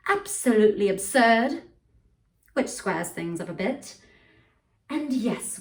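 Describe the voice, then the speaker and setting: A woman playing a tipsy, pompous upper-class English gentleman with a put-on British accent, rambling through a grandiloquent speech. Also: sits high. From United States. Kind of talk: theatrical monologue performance. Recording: voice from far off the mic.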